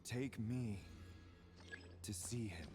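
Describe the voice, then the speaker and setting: An actor playing a solemn, mysterious disembodied voice in a video game. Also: male voice, on the low side, extremely slow. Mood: solemn, beckoning. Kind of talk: dramatic video game voice acting.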